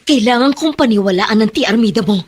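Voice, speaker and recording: A woman's voice: a little high, quick pace, darkish tone, husky. A woman playing a fierce, desperate middle-aged mother in a Filipino radio drama. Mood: determined. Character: desperate, fierce mother hunting her missing daughter.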